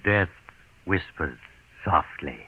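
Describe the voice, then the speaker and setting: An actor playing a sly, genial, macabre traveler who hosts and narrates radio tales in a wry, insinuating way. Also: male voice, low-pitched, expressive, slow, slightly rough, dry recording, full character voice. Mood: ominous. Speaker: host narrator. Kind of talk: 1940s radio storyteller. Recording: dull old radio recording with music.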